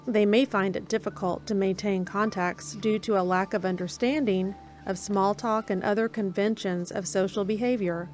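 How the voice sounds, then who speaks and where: A woman reading scripted documentary narration in a measured, even way with slow pauses. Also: medium pitch, faint music behind.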